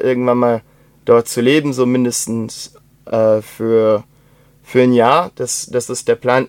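A young man with a slight Swabian accent, talking casually and rambling with many pauses, fillers and restarts. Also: mid-range voice, darkish tone, no room sound. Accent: German with Swabian traces. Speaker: interview guest. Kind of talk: casual conversation.

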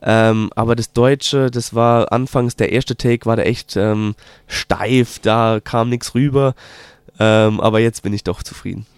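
A man with a Palatinate German accent talks casually about his work in an interview, mid-pitched and unhurried. He pauses often and fills gaps with hesitation sounds. The recording is dry and close. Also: steady tone, clear, resonant tone, no room sound. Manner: hesitant, many filler sounds, slow drawn-out phrasing. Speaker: documentary filmmaker guest.

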